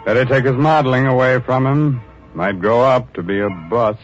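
An actor playing a macabre, wry, teasing horror host in 1940s radio style. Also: male voice, mid-range voice, normal speed, lightly acted. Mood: darkly playful. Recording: muffled old radio recording with music.

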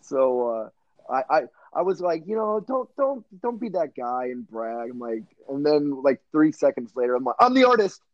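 A man's voice: mid-range voice, big pitch swings, moderate pace, no room sound, muffled recording. A man in his thirties, a guest on a podcast, chatting casually and joking about himself with frequent laughs. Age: 30s. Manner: laughs often, self-mocking jokes. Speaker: guest, artist.

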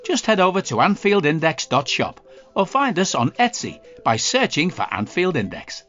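A man's voice: a little high, wildly swooping pitch, normal speed, fairly bright tone. A man reading a scripted podcast advert with upbeat salesman patter. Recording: dull lo-fi audio with music bed. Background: faint music.

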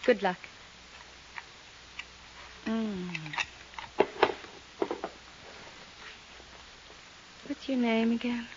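Fragile, haunted widow in old radio drama. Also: female voice, on the high side, slow pace, a little mellow. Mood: distracted, polite. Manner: hesitant, wistful, drifting. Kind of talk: 1950s radio drama acting. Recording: dull, hissy 1950s radio recording.

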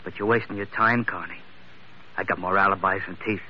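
An actor playing a surly, cornered ex-convict in an old-time radio drama, talking in sullen, tough slang. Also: male voice, low-pitched, fairly even intonation, a little fast, natural voice. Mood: surly, defiant. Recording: muffled, very noisy vintage radio recording.

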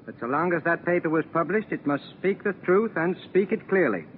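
A man's voice: fairly high pitch, animated, a little fast, natural voice. An actor playing an earnest, principled old small-town newspaperman in a 1940s radio drama. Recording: tinny, noisy 1940s radio recording.

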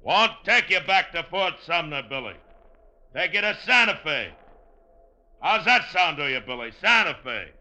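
A man acting an unhurried, folksy, drawling American sheriff in an old-time radio western. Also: fairly high pitch, big pitch swings, pushed and bright, heavily acted. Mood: calm, coaxing. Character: unhurried Old West sheriff coaxing trapped outlaws.

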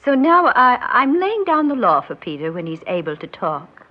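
Vain, husky, middle-aged mother. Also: a little high, sing-song, moderate pace. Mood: indignant, amused. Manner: proud, fretful, theatrical. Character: vain middle-aged mother and grandmother dreading old age. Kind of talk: old-time radio drama acting. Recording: muffled old radio recording.

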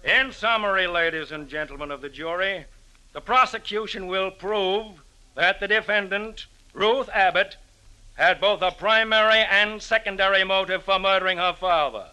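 An actor playing a forceful district attorney in an old radio drama, formal and declamatory as in court. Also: male voice, high-pitched, moderate pace, clear, resonant tone, poor audio. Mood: accusing. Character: forceful male district attorney prosecuting a murder trial. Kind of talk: old-time radio acting, courtroom oratory.

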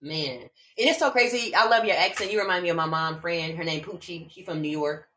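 A woman from Omaha, a laughter yoga teacher, chatting casually in an animated, slangy way. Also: on the low side, brisk, slight background noise. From Omaha, Nebraska. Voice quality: animated, slangy, spiritual talk with bursts of laughter. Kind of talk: casual chat.

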